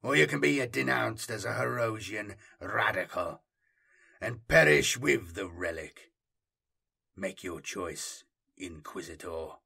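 A man voicing a slow, stern space marine captain. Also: on the low side, expressive, gravelly, pushed and bright, husky, exaggerated voice. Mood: cold, threatening. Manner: slow, deliberate, commanding. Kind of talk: dramatic audiobook character reading.

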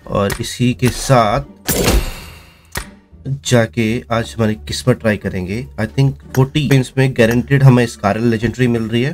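A man hosting a gaming video in casual, slangy, exclaiming reaction commentary. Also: medium pitch. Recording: loud music behind the voice. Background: loud music.

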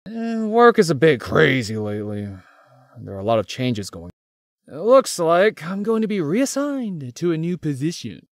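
A man's voice: on the high side, sing-song, moderate pace, dry recording, studio quality. A man playing a hardworking, teasing young best friend in a visual novel. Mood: casual, wry. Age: young adult.

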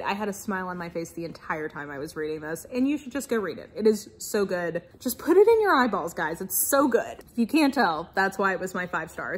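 A young adult woman, talking casually and emphatically to camera as an enthusiastic, informal book reviewer. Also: on the high side. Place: small-to-medium living room indoors with bookshelves.

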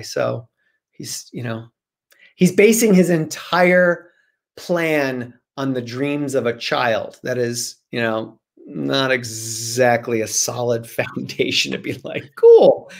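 Guy in his 40s chatting on a video call, slangy and animated, kinda goofy storyteller. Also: medium pitch, creaky. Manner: animated, joking, slangy, acts out imagined remarks. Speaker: guest author. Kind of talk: casual chat interview. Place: small room at home, on a video call.

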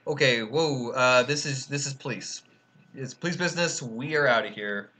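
A man's voice: mid-range voice, normal speed, pushed and bright. A man co-hosting a casual movie podcast, rambling with lots of fillers, restarts and the odd swear word. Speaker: podcast co-host. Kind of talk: casual movie review chat.

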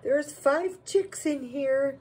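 A woman's voice: sits high, slow, a little mellow. A plain-spoken, folksy woman giving casual home-video narration on a noisy phone recording. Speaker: farm vlogger.

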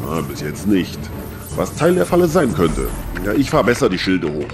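A man's voice: low-pitched, wildly swooping pitch, moderate pace, full character voice. An actor playing a cocky, wisecracking male starship crewmate with a dry, teasing manner in a sci-fi audio drama. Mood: watchful. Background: music.

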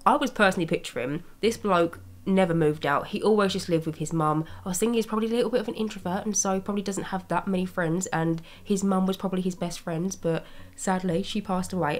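A young woman narrates her building video in a casual, rambling way. Her voice sits a little low and carries a slight rasp from a lingering cold. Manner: chatty, rambling, with small laughs. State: raspy from a cold. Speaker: Sims speed-build YouTuber. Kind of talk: casual commentary over gameplay. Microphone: voice-over recorded separately from gameplay.

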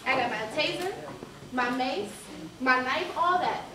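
A woman's voice: sits high, a little slow. A young woman acting on stage as a sassy, self-assured daughter heading out for a night. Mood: defiant. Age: young adult. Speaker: stage actor. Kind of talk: live stage play acting, casual urban dialogue. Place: indoor theater stage. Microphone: far camera. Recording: echoey theater, distant mic, audience noise.

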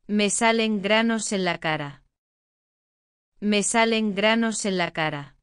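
A woman's voice: medium pitch, slow pace, smooth, no echo. A woman reading short phrases aloud twice each for a language lesson, with careful enunciation into a close mic. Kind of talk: slow repeated phrase reading.

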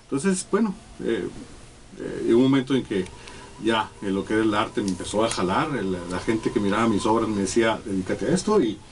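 Mexican male guest, halting speech with fillers, low voice, sits off-mic with faint room hiss. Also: lively intonation, far from the mic. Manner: halting, many fillers and restarts. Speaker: guest artist. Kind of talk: casual radio interview. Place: small radio studio. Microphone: desk studio mic, sitting back.

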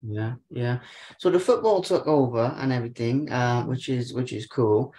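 A man's voice: mid-range voice, normal speed, mellow. A young adult man hosting an interview over a video call, asking long, hedging questions full of fillers. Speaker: interviewer, podcast host. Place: living room sofa, indoors. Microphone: webcam on a video call.

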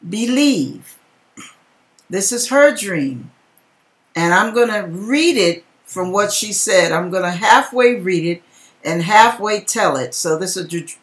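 A middle-aged woman giving a dramatic reading with casual narration, talking emphatically with conversational asides to the viewer. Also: lowish voice. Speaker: video host reading a viewer's dream. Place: home kitchen indoors.

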